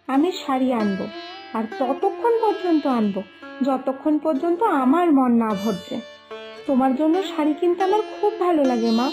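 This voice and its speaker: A woman voicing a devoted, self-sacrificing village daughter in an animated moral story, speaking in an earnest, emotional, pleading manner. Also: high-pitched.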